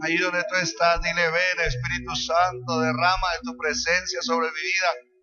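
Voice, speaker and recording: A man's voice: a little high, little pitch movement, normal speed. A man leading worship as a pastor, praying and exhorting in an impassioned, repetitive, imploring way. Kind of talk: fervent charismatic prayer and exhortation over worship music. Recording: dull lo-fi sound under loud worship music. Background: loud worship music.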